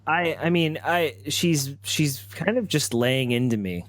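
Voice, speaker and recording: A man playing a tabletop roleplaying game, thinking aloud haltingly as he improvises his character's story. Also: on the high side, no echo.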